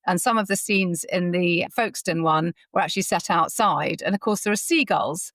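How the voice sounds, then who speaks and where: A woman's voice: fairly low pitch, moderate pace, a bit bright, no echo, clean studio sound. A woman talking enthusiastically and chattily as an interview guest. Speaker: audio drama producer, interview guest. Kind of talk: conversational interview.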